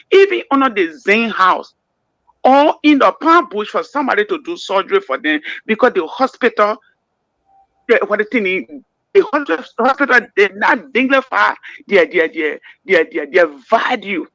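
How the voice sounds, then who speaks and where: A woman's voice: fairly high pitch, animated, normal speed, a bit bright, no room sound, low-quality recording. A woman with a Liberian accent calling in to a radio talk show, speaking emphatically and often stammering and repeating words. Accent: Liberian English. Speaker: caller.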